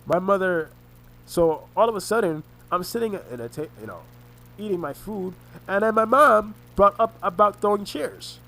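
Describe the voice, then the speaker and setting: A man recounting family arguments in a rambling monologue, halting and often restarting his words, his voice congested and his throat sore. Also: high-pitched, expressive, sharp tone, low-quality recording. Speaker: vlogger recounting family conflicts. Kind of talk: rambling personal monologue over a screen recording.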